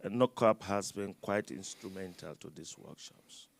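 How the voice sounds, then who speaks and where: A man speaking on a conference panel, loud, halting and full of fillers and restarts, speaking into a microphone. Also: low-pitched, vocal fry.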